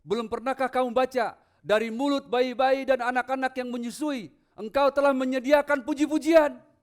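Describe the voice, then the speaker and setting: Male pastor, high voice, emphatic sermon delivery that moves between quiet asides and loud proclamation. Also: fairly even intonation, moderate pace. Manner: rhetorical questions to the congregation. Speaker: pastor preaching. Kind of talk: sermon with scripture readings.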